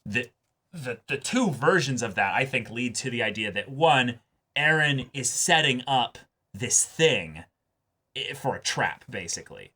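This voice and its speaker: A man's voice: medium pitch, lively intonation, unhurried, bright, forceful tone, slightly rough. A man hosting an anime discussion show, talking casually and analytically, stressing and repeating his points insistently.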